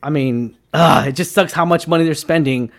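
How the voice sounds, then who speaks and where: A man in his twenties hosting a casual chat show, rambling excitably, repeating himself and peppering his talk with slangy asides. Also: fairly high pitch, normal speed. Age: 20s. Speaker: show host. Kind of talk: casual panel chat on film news.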